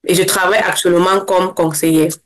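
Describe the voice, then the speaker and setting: A young woman from Cameroon, a guest in an interview, speaking casually and fast, with many restarts. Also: fairly low pitch, fairly even intonation. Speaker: interview guest, former scholarship holder.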